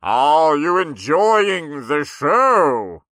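Booming old circus ringmaster, drawn out. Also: male voice, huge pitch swings, dry recording, exaggerated voice. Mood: showy, booming. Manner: loud, theatrical, drawn-out announcing with dramatic pauses. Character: big-bellied old male circus ringmaster, booming showman.

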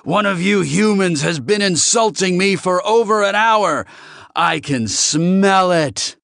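A man's voice: high-pitched, takes it slowly, creaky, a bit bright, no echo, clean studio sound, pitched above the performer's own voice, exaggerated voice. Man acting out a bellowing, snarling lizard alien delegate. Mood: snarling rage. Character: large lizard-like alien trade delegate, male, explosively hot-tempered.

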